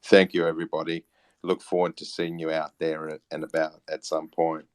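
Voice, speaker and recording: A man with a deep voice speaks as an interview guest. His intonation stays flat and the sound is dry and close, in a casual conversational style. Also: moderate pace, clean tone, dry recording. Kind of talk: casual chat.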